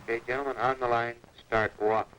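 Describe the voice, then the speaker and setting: A man's voice: medium pitch, little pitch movement, quick pace, background noise. A man working as a firing range instructor, calling out short commands on 1960s film sound.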